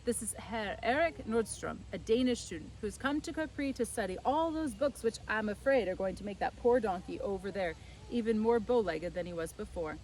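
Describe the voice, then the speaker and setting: A woman voicing a genial, courteous and talkative French gentleman adventurer in a storybook, with a breezy manner. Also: mid-range voice, animated, brisk, close to an everyday voice, poor audio.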